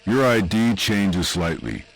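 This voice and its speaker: Deep, slow male horror narrator. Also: big pitch swings, creaky, slight background noise, rough recording. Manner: ominous, deliberate, short dramatic phrases with pauses. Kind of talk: scripted second-person analog horror narration.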